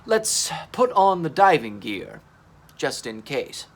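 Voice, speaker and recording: A man's voice: a little high, very animated, takes it slowly, heavily acted. A man voicing an eager, plucky male dinosaur captain in a children's story, upbeat and emphatic. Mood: resolute.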